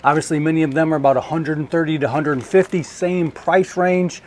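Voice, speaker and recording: A man's voice: a little high, fairly even intonation, a little fast, darkish tone, a little hiss or hum. A man giving a casual, hands-on unboxing review in a conversational way, thinking aloud as he goes. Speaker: product reviewer.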